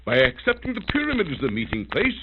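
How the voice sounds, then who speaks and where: Excitable hot tempered gent, exclaims a lot, swoopy voice, muffled old radio serial. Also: male voice, extremely high pitch, quick pace, gravelly, full character voice. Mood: gleeful. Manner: blustering, exclamatory. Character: blustery, excitable British gentleman adventurer, hot-tempered. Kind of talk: 1930s radio drama acting. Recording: noisy, muffled old radio broadcast with clicks.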